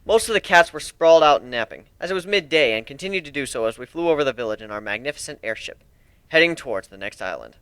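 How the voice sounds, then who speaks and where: Animated man narrating fiction. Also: a little high, fast, clear, resonant tone, no room sound. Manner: expressive, wry. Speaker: amateur story narrator. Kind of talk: dramatic first-person fiction reading.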